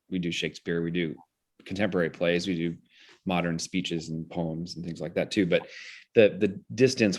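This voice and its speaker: Guy with a pretty deep voice explaining stuff in an interview, articulate, kind of academic. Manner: articulate, analytical, measured phrasing. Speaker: guest, theater director.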